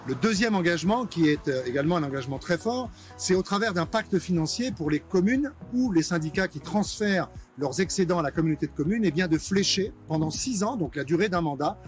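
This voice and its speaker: A man speaking as a local government official, giving a prepared institutional statement in a measured way with frequent hesitations. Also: high voice, animated, soft background music.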